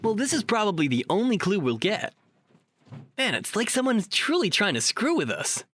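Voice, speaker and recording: An actor playing a chatty, cocky male paranormal investigator in an audio drama, talking in a wordy, animated way. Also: high voice, moderate pace, exaggerated voice. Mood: matter-of-fact. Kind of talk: audio drama dialogue acting.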